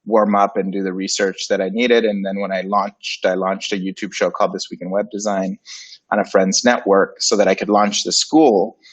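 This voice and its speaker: A man in his forties, a native Spanish speaker of Puerto Rican and Dominican background, telling his career story as an interview guest in a relaxed, playful way. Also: low voice, normal speed, husky. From Puerto Rico and Dominican Republic. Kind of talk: casual interview. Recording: dull lo-fi sound.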